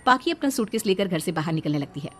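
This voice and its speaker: Woman narrating an animated story, music behind. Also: on the low side, fast talker. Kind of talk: scripted storytelling narration for animated family tale.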